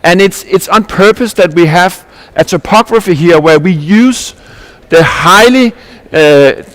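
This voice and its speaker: A man from Denmark speaks with a somewhat high-pitched voice. He gives an earnest conference presentation as a landscape architect. He often restarts his sentences and asks rhetorical questions. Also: a little slow, fairly bright tone.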